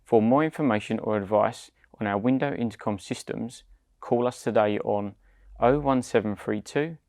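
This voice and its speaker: A British man, around his forties, giving scripted step-by-step product instructions in a measured, deliberate way. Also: lowish voice, darkish tone, no echo, studio quality. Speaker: product demonstrator.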